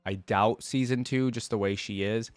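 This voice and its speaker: A man hosting a reaction video, reading aloud and thinking out loud in a casual, conversational way. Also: fairly low pitch, fairly even intonation, moderate pace, no echo.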